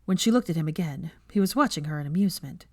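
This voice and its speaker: A woman reading fan fiction aloud as narrator, in expressive scripted storytelling. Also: low-pitched, quick pace, no room sound, clean studio sound.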